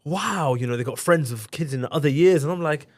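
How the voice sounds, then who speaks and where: A man from London with a London accent, hosting a podcast in a relaxed, conversational way. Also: on the high side, fairly quick, no room sound, clean studio sound. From Islington, London. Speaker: podcast host. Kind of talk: casual podcast chat.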